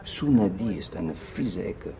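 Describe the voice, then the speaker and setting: A man's voice: low-pitched, lively intonation, a little slow, gravelly, warm tone, full character voice, rough recording. A man playing a wary, matter-of-fact seasoned correspondent in a radio drama. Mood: warning.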